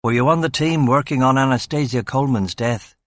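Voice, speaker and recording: A man voicing a gruff, weary male police inspector who speaks in a curt, sardonic way. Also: medium pitch, fairly quick, no room sound, studio quality, natural voice.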